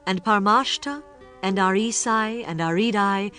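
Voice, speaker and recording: A woman narrates scripture in a formal, measured storytelling style. Her voice has a medium pitch, and music plays beneath it. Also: bright, forceful tone. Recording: music bed under the voice.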